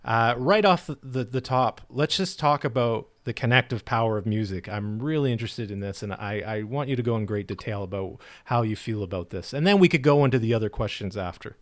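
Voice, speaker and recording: A man hosting a podcast interview, introducing his guest with halting restarts. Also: mid-range voice, animated, no room sound, clean studio sound. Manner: halting, restarts, warm lead-in questions. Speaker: podcast host.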